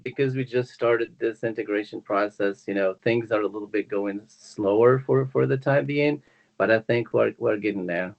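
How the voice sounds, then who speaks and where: A man's voice: lowish voice, moderate pace, creaky, highs cut off. A man from Morocco with a Moroccan accent, answering interview questions conversationally as an academic guest. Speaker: guest, university language professor.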